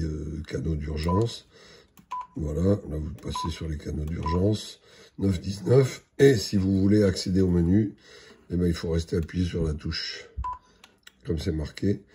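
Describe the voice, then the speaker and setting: Very deep-voiced man, halting product demo. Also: warm tone, a little hiss or hum. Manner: hesitant, halting, matter-of-fact. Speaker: product presenter. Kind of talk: unscripted product demonstration.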